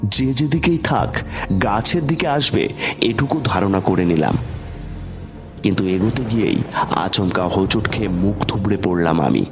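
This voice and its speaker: A man giving a dramatic, suspenseful first-person narration of a scripted horror story. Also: deep voice.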